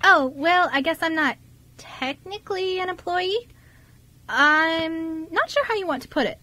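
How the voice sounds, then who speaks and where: Woman playing a high-voiced, bubbly teenage girl. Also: expressive, unhurried, bright, forceful tone, no room sound, full character voice. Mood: bubbly, chatty. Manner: chatty, eager, giggly. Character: bubbly, friendly fifteen-year-old girl working in family cafe. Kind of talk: amateur radio drama dialogue.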